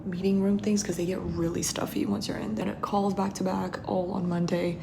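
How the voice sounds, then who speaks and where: A woman in her twenties chatting casually and upbeat to camera as a vlogger, her voice slightly hoarse. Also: lowish voice, little pitch movement, fairly quick, noisy background. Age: 20s. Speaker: vlogger, startup founder.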